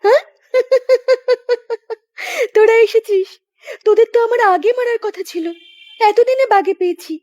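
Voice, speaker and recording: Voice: extremely high pitch, a little slow, long pauses, a little mellow, no echo, clean studio sound. A performer voicing a menacing, gloating witch in a horror cartoon, with a taunting, cackling manner. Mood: gloating menace. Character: menacing, gloating female witch haunting a graveyard.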